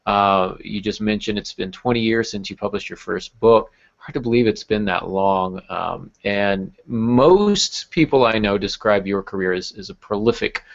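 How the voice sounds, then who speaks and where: A man's voice: lowish voice, moderate pace, creaky. A man hosting a casual podcast, joking and rambling a little as he talks. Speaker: podcast host. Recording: dull lo-fi podcast audio.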